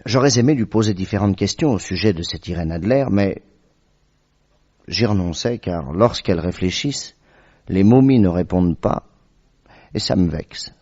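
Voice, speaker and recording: Wry detective's sidekick and narrator, husky, very varied intonation, radio drama, dull muffled recording. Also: male voice, low-pitched, moderate pace, pauses often. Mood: wry narrating. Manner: wry, expressive storytelling.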